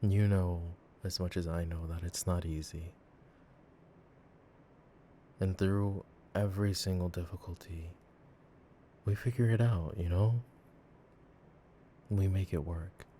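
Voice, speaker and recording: A man acting a devoted, affectionate boyfriend in an intimate roleplay ASMR monologue, with a rambling, halting, tender way of talking. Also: sits low, steady tone, warm tone, a little hiss or hum. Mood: tender, earnest. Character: devoted, silly, affectionate boyfriend, earnest and reassuring. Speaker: voice actor in an audio roleplay.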